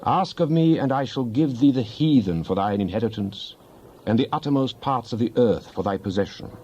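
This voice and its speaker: Dark-voiced man solemnly reciting psalms. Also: mid-range voice, lively intonation, normal speed. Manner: solemn, declamatory. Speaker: scripture reader. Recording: dull lo-fi, faint background noise.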